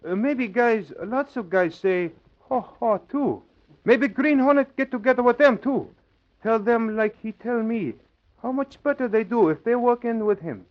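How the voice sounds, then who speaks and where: A man's voice: very high voice, unhurried. A man playing a stubborn, cocky island crook in clipped broken English, in 1940s radio drama style. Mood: sly. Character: tough, stubborn male Pacific island crook, cocky and unruffled. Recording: dull old 1940s radio recording.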